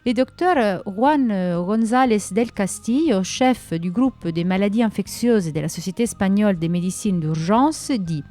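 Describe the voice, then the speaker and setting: A woman's voice: mid-range voice, expressive, a little slow, few pauses, warm tone, soft background music. A woman hosting a radio segment, reading listener personal ads and short news in a friendly, conversational way with frequent hesitations. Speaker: radio show host.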